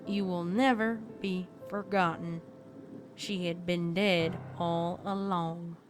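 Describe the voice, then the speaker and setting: A woman voicing a deadpan cowgirl pony who tells a spooky tale in a folksy, dramatic way for a fan fiction audio story. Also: on the low side, slow. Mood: grave, ominous. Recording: background music under the voice.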